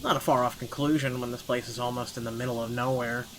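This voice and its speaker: Expressive man narrating over clear background noise. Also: medium pitch, fast talker, rough recording. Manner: expressive, sweary commentary between read passages. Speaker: gaming video host reading a visual novel aloud. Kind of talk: dramatic first-person narration with blunt reaction asides.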